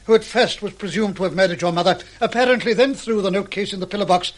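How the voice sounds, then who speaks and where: Guy acting brainy smug male detective doctor, high voice, very precise and formal, old radio drama. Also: fast talker, natural voice. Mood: measured, probing. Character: pompous, brilliant male doctor detective, coolly self-assured.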